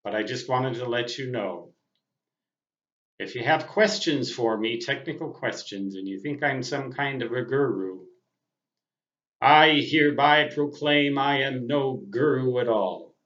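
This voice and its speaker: An older man, a ham radio hobbyist, giving an unscripted monologue to viewers in deliberate, wordy, self-deprecating phrases. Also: mid-range voice, fairly bright tone. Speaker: amateur radio operator and vlogger. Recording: dull, roomy, echoing sound.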